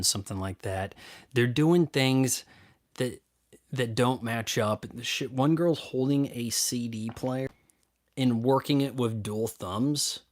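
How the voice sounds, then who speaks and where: A man in his thirties talks off the cuff to his live-stream chat, his voice sitting at an ordinary middle pitch. He rambles from one thought to the next with crude, sarcastic asides. He often trails off and starts his sentences over.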